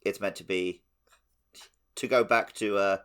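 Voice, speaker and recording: A man's voice: lowish voice, steady tone, unhurried, fairly bright tone, clear, resonant tone. A man co-hosting a podcast, talking casually and descriptively about video games. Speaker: podcast host. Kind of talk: casual video game discussion.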